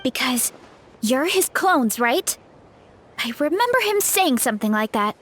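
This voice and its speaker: A woman playing a gentle, naive young warrior in a game, guileless and hesitant in manner. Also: high-pitched, very animated, a little slow, heavily acted. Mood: wondering.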